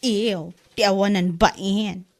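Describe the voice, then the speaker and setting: A woman's voice: mid-range voice, steady tone, slow pace, a little mellow. A woman playing a nosy, scolding village gossip in an Ilocano radio comedy drama, chiding and insinuating.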